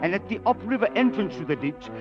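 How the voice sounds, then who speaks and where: A troubled, fatalistic commissioner, a little high in pitch with dramatic swings. He broods intensely in old radio style. The muffled vintage recording has music behind it. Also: big pitch swings, quick pace. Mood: narrating. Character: troubled district commissioner, fascinated by danger, fatalistic. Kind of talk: 1940s-50s radio drama narration and acting.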